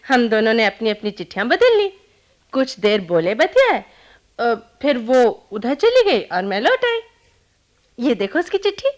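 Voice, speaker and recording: Playful, high-voiced young bride. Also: female voice, very animated, a little slow. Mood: affectionate, lively. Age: young adult. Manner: teasing, giggly, coaxing. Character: playful, affectionate young newlywed bride on honeymoon. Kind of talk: Hindi radio play acting.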